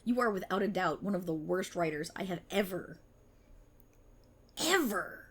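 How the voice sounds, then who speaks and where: A woman performs an indignant policewoman who knows she is stuck in a script. Her slightly rough-edged voice swings widely in pitch as she delivers her lines with sarcasm and theatrical exasperation, in the style of a dramatic audio roleplay. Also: lively intonation, normal speed. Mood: bitter, scornful. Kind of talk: ASMR audio roleplay monologue, dramatic acting.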